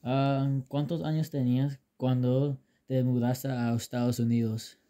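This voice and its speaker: Young man, very dark soft-edged tone. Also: medium pitch, little pitch movement, measured pace. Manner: hesitant, careful learner's Spanish. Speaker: interviewer. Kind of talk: simple interview questions.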